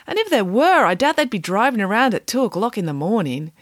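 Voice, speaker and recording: A woman's voice: mid-range voice, sing-song, a little fast, dry recording, clean studio sound, pitched above the performer's own voice, natural voice. A woman voicing an earnest, literal-minded schoolboy in an audiobook, questioning and objecting matter-of-factly. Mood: doubtful. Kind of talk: audiobook character voice.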